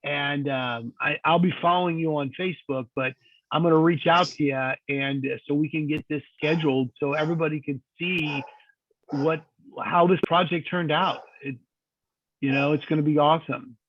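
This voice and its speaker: An upbeat man hosts a video call, chatting with plenty of fillers as he wraps things up. His voice sits a little high. It comes through thin and phone-like with no room sound. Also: fairly even intonation, moderate pace, dry recording. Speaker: host of a property tour call. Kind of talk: casual hosting on a video call. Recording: tinny phone-like call audio.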